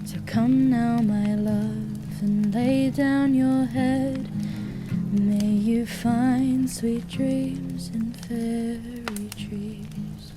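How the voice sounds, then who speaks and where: A woman singing a gentle lullaby in a slow style. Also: a little high, fairly even intonation. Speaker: singer. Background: guitar lullaby music.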